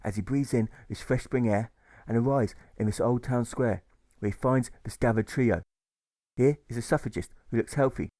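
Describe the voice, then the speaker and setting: A man reading a rhyming audiobook story in a rhythmic, deliberate, theatrical manner. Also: medium pitch, mellow, dry recording. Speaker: author reading own audiobook. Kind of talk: rhyming scripted narration.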